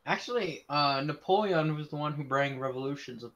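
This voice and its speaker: A young man co-hosting a casual chat, eager and quick to argue and correct the others. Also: on the high side, fairly bright tone, lo-fi sound. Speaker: co-host.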